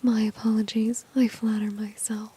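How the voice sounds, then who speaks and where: A woman acting a confident, flirty, dominant villain in an ASMR roleplay, whispering softly and teasingly with smug self-assurance. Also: a little high, flat delivery. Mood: sly, self-satisfied. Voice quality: breathy, soft whisper. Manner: slow, teasing, smug, coaxing.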